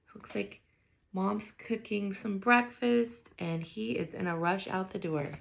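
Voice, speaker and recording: A woman with heavy vocal fry reads a children's story aloud. She is expressive in her delivery and breaks off now and then to muse aloud like a teacher. Her pitch sits in an ordinary range for a woman. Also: measured pace, a little hiss or hum. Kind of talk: children's picture book read-aloud with wondering asides.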